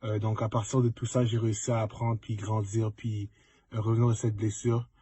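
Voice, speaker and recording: A man's voice: lowish voice, level tone, fairly quick, dark tone, husky, no room sound. A young man giving a casual, reflective testimonial to students and mixing in English words. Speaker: former student, pro football player.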